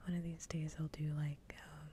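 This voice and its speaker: A woman whispering softly and casually close to the mic as she hosts an ASMR livestream. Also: low voice, little pitch movement, normal speed, a little hiss or hum. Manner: soft-voiced, mostly whispering.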